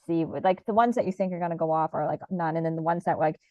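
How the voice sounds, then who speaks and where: A woman talks quickly and casually, a little nasal, piling up fillers and restarts as she chats. Her voice sits a touch low and comes through a slightly dull, dry call microphone. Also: darkish tone, no room sound. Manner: chatty, many fillers and restarts. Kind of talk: casual conversation.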